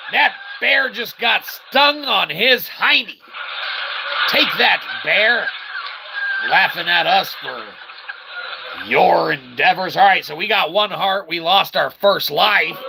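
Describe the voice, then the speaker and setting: Gravelly man doing gaming commentary. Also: high-pitched. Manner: mock-formal, wordy phrasing mixed with slang; refers to himself as "we". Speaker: gaming channel host. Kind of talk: casual video game playthrough commentary. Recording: clearly noisy background.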